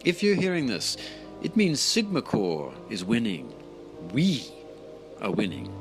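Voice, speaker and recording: An actor playing a vindictive male doctor in an audio drama, delivering a measured, gloating, theatrical monologue. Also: mid-range voice, huge pitch swings. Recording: music behind the voice. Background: dramatic music.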